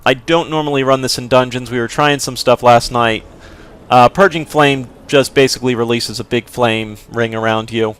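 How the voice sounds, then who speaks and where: A man's voice: mid-range voice, fairly even intonation, normal speed, fairly bright tone, clean tone. A man casually commentating a game walkthrough, explaining as he plays, with a rambling, hedging way of talking. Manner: rambling, lots of fillers and hedges. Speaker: gameplay commentator.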